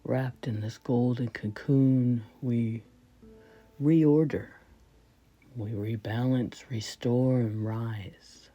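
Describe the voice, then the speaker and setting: A woman leading a guided meditation in a soft, breathy voice that often falls toward a whisper, speaking reverently in short, measured phrases. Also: extremely low pitch, steady tone, highs cut off, soft background music. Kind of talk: slow spoken guided meditation.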